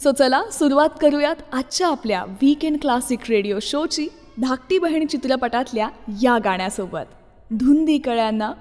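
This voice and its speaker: A woman presenting a scripted radio show as its host. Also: a little high. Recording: long reverb, music behind. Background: soft music.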